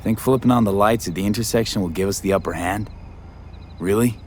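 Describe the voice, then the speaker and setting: A man's voice: lowish voice, brisk, a little hiss or hum, lightly acted. An actor playing a streetwise male mercenary, terse and dry with plenty of slang, in naturalistic video game dialogue. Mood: skeptical. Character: laconic, streetwise male mercenary, coolly probing.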